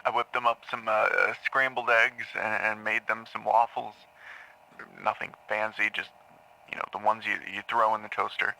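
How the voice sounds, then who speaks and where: A man playing a troubled patient in an audio drama, haltingly confessing dark memories to a therapist, stammering and repeating words. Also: lowish voice, flat delivery, creaky, exaggerated voice, rough recording. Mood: subdued, hesitant. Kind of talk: audio drama acting, intimate confessional monologue.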